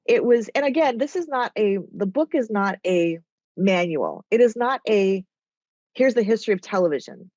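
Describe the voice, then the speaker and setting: A woman's voice: medium pitch, animated, normal speed, darkish tone, no echo, lo-fi sound. A middle-aged woman, a TV writer and former executive, talking as a podcast guest in a nasal, rambling, self-interrupting way. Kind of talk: casual conversational interview.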